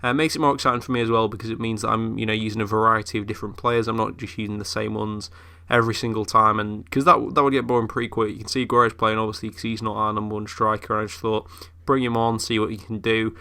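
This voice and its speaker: A man's voice: lowish voice, flat delivery, fast talker, pushed and bright. A young man who is ill, chatting casually through game commentary with lots of fillers. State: ill, voice a bit off. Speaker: gaming YouTuber.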